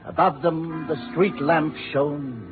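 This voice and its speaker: An actor playing a pompous, boozy musician who declaims in florid, grandiloquent phrases in a 1950s radio drama. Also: male voice, fairly high pitch, fairly even intonation, slow pace. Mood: theatrical. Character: pompous, boozy down-and-out male musician, theatrical and stubborn. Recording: tinny vintage radio, music behind.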